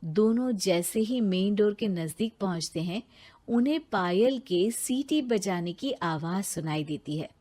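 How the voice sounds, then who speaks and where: A woman's voice: mid-range voice, lively intonation, unhurried, very noisy. A woman reading scripted narration for a moral story as its narrator.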